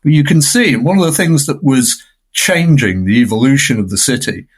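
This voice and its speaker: A man's voice: mid-range voice, expressive, moderate pace, husky. Man in discursive film discussion. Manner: discursive, adding tag questions. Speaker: film scholar guest on a podcast. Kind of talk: unscripted analytical podcast discussion.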